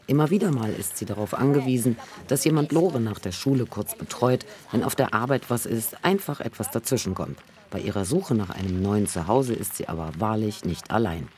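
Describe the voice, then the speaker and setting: A man reading a scripted news report as voice-over, in a calm, even manner. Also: on the low side, a little fast, few pauses.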